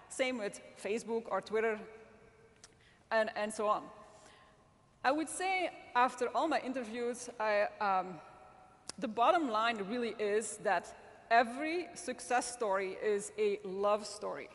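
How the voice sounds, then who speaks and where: A woman's voice: fairly high pitch, measured pace. Belgian-born woman speaking in a reverberant hall. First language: not English. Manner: earnest, warm storytelling with frequent restarts. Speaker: magazine editor-in-chief speaking at a summit. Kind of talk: conference talk to a live audience. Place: large event hall.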